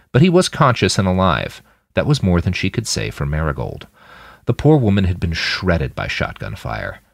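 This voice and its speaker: A man reading scripted fiction as an audiobook narrator, with dramatic, expressive delivery. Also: sits low, gravelly, no room sound, studio quality. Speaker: fiction podcast narrator and author.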